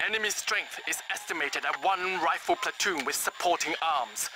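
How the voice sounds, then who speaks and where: Male actor as army commander, high-pitched, formal, solemn, patriotic, game briefing, noisy background. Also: moderate pace, natural voice. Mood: commanding. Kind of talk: military briefing in a video game. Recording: clear background noise.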